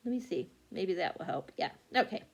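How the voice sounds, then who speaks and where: A woman's voice: fairly low pitch, lively intonation, brisk, creaky, husky, highs cut off. A woman chatting casually about upcoming books, rambling with lots of fillers and restarts while working a phone. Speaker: book vlogger. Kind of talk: casual chat.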